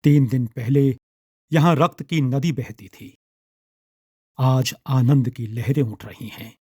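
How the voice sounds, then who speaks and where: A man narrating a scripted literary story in a measured, dramatic storytelling manner. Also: medium pitch, fairly even intonation, darkish tone, husky, no room sound, clean studio sound.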